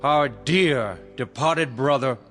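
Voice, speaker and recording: A man's voice: medium pitch, takes it slowly, a bit bright, faint music behind. A man with a Southern American accent playing a folksy, solemn and fervent rural reverend in a radio drama. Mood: solemn, kindly. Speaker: preacher. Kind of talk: radio drama acting, funeral eulogy.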